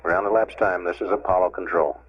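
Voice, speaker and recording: A man gives live spaceflight status updates. He sounds official yet slightly informal, pausing as he goes. The sound is a dull, lo-fi archival radio transmission. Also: sits low, fairly even intonation. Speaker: mission control public affairs announcer.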